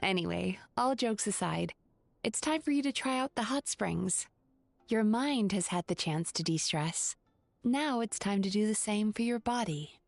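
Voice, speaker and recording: A woman playing a composed, caring, gently wry young therapist with a measured, articulate manner in an anime-style video game. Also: mid-range voice, animated, creaky, dry recording, faint music behind. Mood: reassuring.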